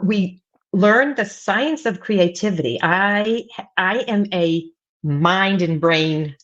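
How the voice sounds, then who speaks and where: A woman's voice: lowish voice, lo-fi sound. A middle-aged woman who grew up in the United States, a writing coach talking casually as a guest, rambling with many fillers and restarts. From United States, mostly Virginia Beach. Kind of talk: casual interview talk.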